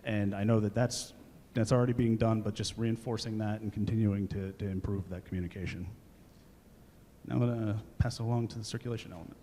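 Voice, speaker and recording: A man's voice: on the low side, steady tone, dark tone, slight background noise. A man presenting a village master plan at a public meeting, with frequent fillers and restarts. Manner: halting, many fillers and restarts. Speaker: planning consultant. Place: municipal meeting room. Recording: reverberant meeting hall.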